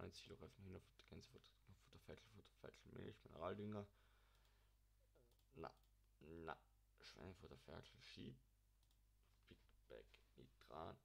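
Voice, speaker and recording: A man's voice: low-pitched, creaky, slight background noise. A young man, casually commenting on a farming game while mumbling to himself, and he has a cold. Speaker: gaming channel host.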